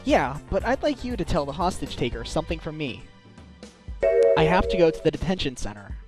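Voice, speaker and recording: A man voicing an earnest young male defense lawyer in a game fan dub, with a lively delivery. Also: a little high, brisk, faint music behind. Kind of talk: amateur game fandub acting.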